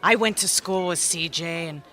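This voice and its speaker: A sharp-tongued, resentful local woman in a radio mystery. Her voice is bright and forceful, and she needles people with sardonic remarks. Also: fairly low pitch, normal speed, soft background music. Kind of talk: old-time radio mystery acting.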